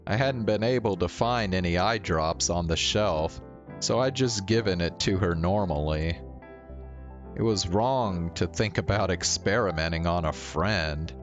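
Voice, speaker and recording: Deep-voiced man narrating, slow-paced. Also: dull audio. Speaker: game streamer. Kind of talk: reading visual novel narration aloud. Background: game music.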